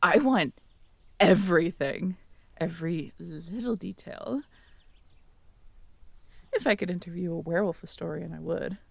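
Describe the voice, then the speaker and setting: A young woman in an ASMR audio roleplay, talking to the listener as a girlfriend, playful and teasing one moment and soft and caring the next. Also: on the low side, a little slow, creaky, long pauses, slightly rough, no echo.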